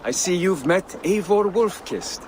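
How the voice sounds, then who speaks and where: Lively, diplomatic Viking townsman, noisy outdoors. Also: high voice, unhurried. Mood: welcoming, businesslike. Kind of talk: video game cutscene acting. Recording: noisy outdoor waterside ambience.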